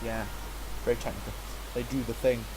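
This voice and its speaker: A man's voice: mid-range voice, flat delivery, a little fast, noisy background. A man chatting through a game he is playing, self-mocking and talkative, sounding stuffy from a cold. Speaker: gaming channel host. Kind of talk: casual gameplay commentary.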